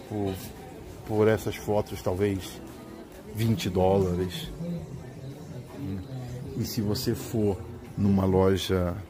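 A middle-aged Brazilian man vlogging casually on a handheld phone as he walks through a large indoor market. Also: fairly low pitch. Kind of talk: casual walking tour narration. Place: large indoor swap meet. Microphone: handheld phone camera. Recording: busy indoor market crowd behind.

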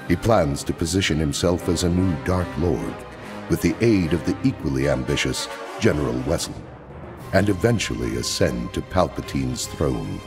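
Very deep, ominous saga narrator. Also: male voice, full character voice. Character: grave, ominous male narrator of a space saga. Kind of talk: dramatic scripted narration of a space opera audio drama.

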